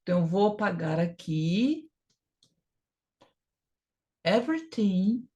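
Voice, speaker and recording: Slow-paced Brazilian woman teaching. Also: mid-range voice, lo-fi sound. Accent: Brazilian Portuguese. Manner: didactic, emphatically sounding out syllables and repeating key words. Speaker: English teacher. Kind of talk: informal language lesson.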